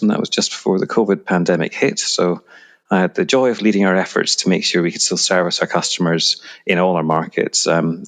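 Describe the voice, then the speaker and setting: A middle-aged Scottish man answering interview questions conversationally as an executive guest. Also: deep voice. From Edinburgh, Scotland.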